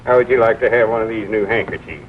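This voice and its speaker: An actor playing a kindly older small-town storekeeper with a rural Southern drawl, in 1940s film style. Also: male voice, lowish voice, fast talker, slight background noise, lightly acted. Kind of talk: 1940s film comedy-drama acting. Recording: tinny, distant 1940s film soundtrack, music behind.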